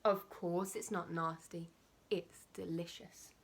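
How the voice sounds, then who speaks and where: A woman voicing an enormous, sly male crocodile in a children's storybook, slow, low and often breathy, relishing each word with menace. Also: fairly even intonation, exaggerated voice. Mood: gleeful relish.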